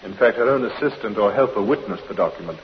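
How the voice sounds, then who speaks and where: An actor plays a gentleman testifying in an old radio drama. He is stiff and precise, with a resentful edge and a lively range. The muffled recording has a long reverb. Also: fairly low pitch, quick pace, a little hiss or hum, natural voice. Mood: stiffly resentful. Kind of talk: 1950s radio courtroom drama acting.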